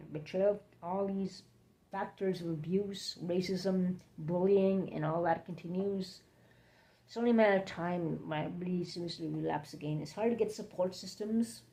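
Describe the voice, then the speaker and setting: A woman with a hoarse, scratchy throat, hosting a rambling late-night livestream chat from home, halting and drifting between topics. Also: on the low side, warm tone. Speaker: livestream host.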